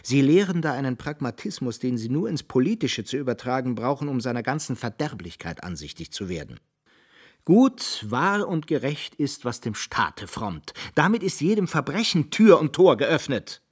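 Eloquent, high-minded humanist gentleman. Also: very animated, moderate pace, slightly rough. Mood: indignant, impassioned. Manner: rhetorical, indignant, courteous, theatrical. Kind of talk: German radio drama acting.

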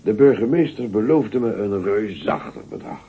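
A man's voice: fairly low pitch, lively intonation, normal speed, husky. An actor playing a sleepy, lazy, boastful folk-tale man in a radio play, drowsy and unbothered. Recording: dull, noisy old radio recording.

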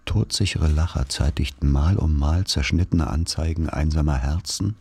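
A man playing a wry narrator who recalls his boarding-school boyhood, in measured literary radio play narration. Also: extremely low pitch, creaky, a little mellow, breathy edge, heavily acted. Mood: wry, deliberate.

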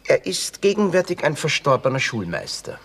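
An actor playing a witty, bitter young drifter in a Viennese stage comedy for radio, with an Austrian accent and pompous wordplay. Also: male voice, fairly high pitch, big pitch swings, moderate pace, creaky, a bit bright, slightly rough, exaggerated voice. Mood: florid, ironic. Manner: florid, pompous wordplay with dry asides. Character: witty, bitter red-haired young drifter, grandiloquent and sardonic.

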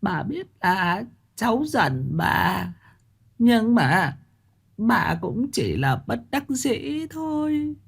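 A male storyteller voicing a desperate, weeping old grandmother who pleads in a high, wailing voice. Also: sing-song, very slow, vocal fry, slightly rough, heavily acted. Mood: mournful.

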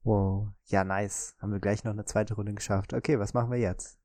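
A man co-hosting a podcast, chatting casually through a guessing game and often pausing on drawn-out fillers. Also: lowish voice, fairly even intonation, darkish tone, no room sound. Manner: hesitant, many drawn-out fillers. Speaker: podcast co-host.

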